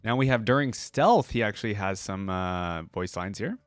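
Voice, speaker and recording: A man's voice: fairly low pitch, animated, normal speed, a little hiss or hum. A young man giving casual, slangy reaction commentary, musing aloud as he goes. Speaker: reaction video host.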